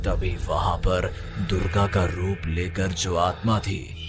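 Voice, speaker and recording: A man's voice: low voice, level tone, slow, background noise. A man narrating a scripted horror cartoon story in a dramatic storytelling manner.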